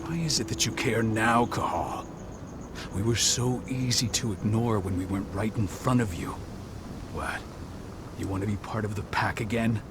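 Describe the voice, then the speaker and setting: A man playing a stern, skeptical, proud pack leader in a video game, speaking in a measured, formal, challenging way. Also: fairly low pitch, fairly even intonation, noisy background, natural voice. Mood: reproachful.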